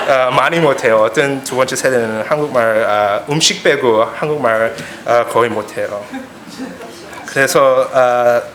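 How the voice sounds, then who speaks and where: Halting American-accented young man in echoey room. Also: medium pitch, fairly bright tone. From United States, Korean immigrant family. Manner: halting, searching for words, simple phrasing. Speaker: preacher. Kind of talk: sermon with personal anecdotes. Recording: echoey room, clear background noise.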